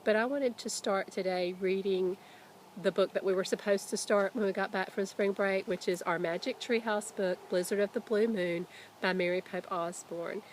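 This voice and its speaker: Lady teacher doing a storybook read-aloud, medium pitch, expressive, bit of background noise. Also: normal speed, rough recording. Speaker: teacher reading aloud to pupils.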